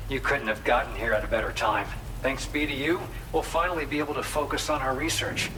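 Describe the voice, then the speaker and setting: A man's voice: medium pitch, distant mic, noisy background, lightly acted. A man playing an earnest, friendly researcher in a video game, explaining things in a chatty way. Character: earnest male researcher at a remote observatory, friendly.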